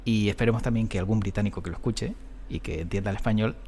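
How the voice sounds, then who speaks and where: A man's voice: on the low side, quick pace, a little mellow, a little hiss or hum. A man hosting a podcast, speaking in a conversational interviewing style. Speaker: podcast host.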